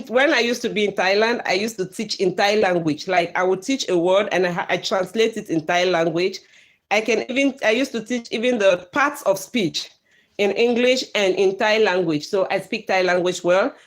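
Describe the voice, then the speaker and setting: A Cameroonian-accented woman whose first language is Bakweri, hosting a live stream, reading out viewer comments in a lively way with frequent laughs and pidgin phrases. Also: mid-range voice. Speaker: livestream host.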